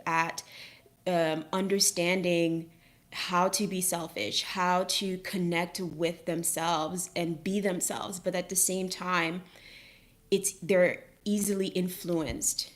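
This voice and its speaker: Low-voiced woman hosting a reflective live chat. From Haiti. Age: 30s. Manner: rambling, reflective, many fillers and restarts. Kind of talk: casual livestream chat.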